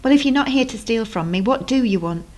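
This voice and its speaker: A woman's voice: on the high side, lively intonation, fast talker, a little mellow, voice pushed up, lightly acted. A woman voicing a frightened young woman in her twenties who pleads with a gunman, in a book reading. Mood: wary. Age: 20s. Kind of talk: audiobook reading.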